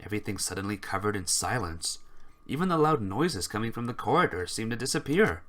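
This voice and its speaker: A woman's voice: very deep voice, very animated, brisk, fairly bright tone. A woman reading visual novel narration aloud as she plays through the game. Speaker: visual novel player and reader.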